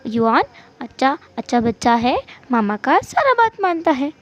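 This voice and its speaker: Woman doing a cheeky little kid boy for a story, squeaky high, repeats himself like a small child, bit lo-fi. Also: very animated, measured pace, heavily acted. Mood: stubborn, cheeky. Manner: childish third-person talk in simple repeated phrases. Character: five-year-old mischievous, devoted little boy. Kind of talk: amateur storytelling.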